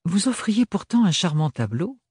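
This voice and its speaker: A man voicing a young woman, clumsy but calm and plain-spoken. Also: high-pitched, moderate pace, breathy edge, dry recording, clean studio sound, pitched above the performer's own voice, full character voice. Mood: earnest.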